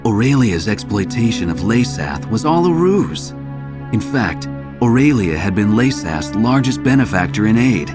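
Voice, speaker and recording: Weary male reporter, deep pitch, wry measured first-person narration, video game story, music behind. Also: sing-song. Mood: grave, intrigued. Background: prominent background music.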